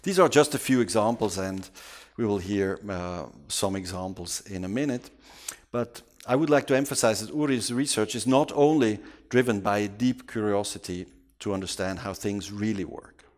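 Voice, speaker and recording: A man with a lowish voice gives a formal introduction at an event. He speaks in a measured, courteous way, with the odd filler. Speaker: event moderator. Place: conference hall.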